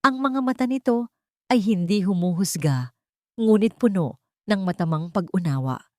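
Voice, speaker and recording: A woman's voice: medium pitch, expressive, moderate pace, dry recording, clean studio sound. A woman with a Filipino accent narrating a scripted dramatic story as an audiobook.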